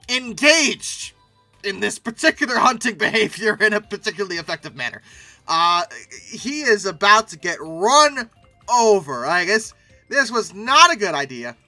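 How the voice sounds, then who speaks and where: A man's voice: sits high, pushed and bright, dry recording. A man hosting casual, humorous commentary, speaking emphatically and repeating words for effect. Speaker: host of a paleoart commentary channel.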